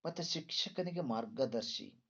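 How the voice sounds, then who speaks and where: A man's voice: mid-range voice, lively intonation, measured pace, a bit bright, husky. A male teacher lecturing students through exam questions, didactic, repeating points and posing rhetorical questions. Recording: dull lo-fi sound.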